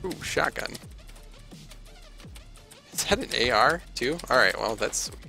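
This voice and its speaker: A young man commentates on his own game in a casual, playful way. He can get excitable, and his pitch sits in the middle range for a man. Faint music runs behind him. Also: a little slow, vocal fry. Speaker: game streamer. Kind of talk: casual live gameplay commentary. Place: indoor room at a streaming desk.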